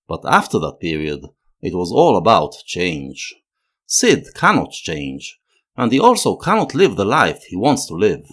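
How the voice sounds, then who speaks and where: A man narrating a scripted analytical video essay in a measured, lecturing manner, leaning on key words. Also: lowish voice, fairly bright tone.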